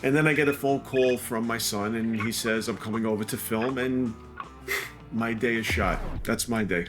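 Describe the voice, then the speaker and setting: An older man from New York City with a New York accent, co-hosting a casual question-and-answer chat with dry, deadpan teasing. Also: mid-range voice, moderate pace, music in the background. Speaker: co-host, father of the other host. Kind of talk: casual Q&A banter.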